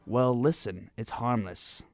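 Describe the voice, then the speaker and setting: A man's voice: mid-range voice, dry recording. A man playing a folksy, good-natured small-town gossip in a radio drama, talking in a colloquial, wryly amused way. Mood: wry, gossipy. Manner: colloquial, drawn-out, wry. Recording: music playing under the voice. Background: music.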